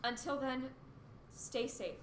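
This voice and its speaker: A woman's voice: high voice, slow. A woman playing an anxious but determined radio host during a disaster, in a radio play. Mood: insistent. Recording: roomy echo with faint noise.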